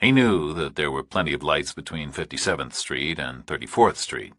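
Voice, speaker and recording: A man's voice: a bass voice, expressive, normal speed, a bit bright, slightly rough, no room sound, studio quality. A man reading scripted thriller narration as an audiobook narrator.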